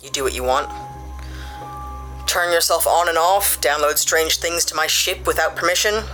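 A woman's voice: deep voice, moderate pace, pushed and bright, faint music behind. A woman playing a blunt, sarcastic space adventurer who trades insults in an audio drama. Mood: defensive. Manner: curt and sarcastic, snaps insults.

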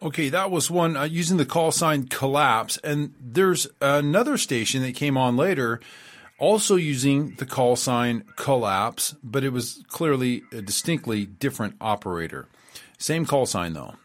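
A man's voice: medium pitch, animated, normal speed. A man hosting a radio show, talking casually and rambling, reading out quotes with frequent fillers and restarts. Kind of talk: casual spoken commentary.

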